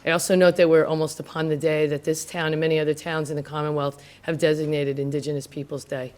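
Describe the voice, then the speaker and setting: Woman moderator at a town meeting, earnest and measured, handheld mic in a meeting room. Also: low voice, little pitch movement, a little mellow. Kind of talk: panel moderation with land acknowledgement.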